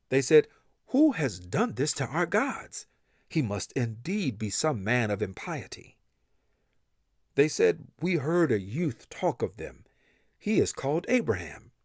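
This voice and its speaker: A man's voice: mid-range voice, husky, no echo, studio quality. A man reading translated scripture aloud with a solemn, emphatic and dramatic delivery. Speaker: reader of scripture. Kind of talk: expressive scripture recitation in English translation.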